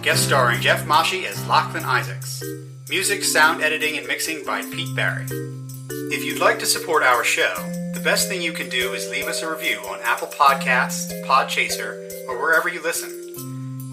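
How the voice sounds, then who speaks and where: A man reading scripted podcast credits as an announcer, in a deadpan manner. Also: a little high.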